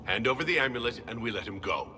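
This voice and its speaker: A man playing a cool, hard adventurer hero in a video game, measured and terse. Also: mid-range voice, expressive, noisy background, natural voice. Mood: cool, demanding. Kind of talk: video game dialogue acting. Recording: loud music behind the voice.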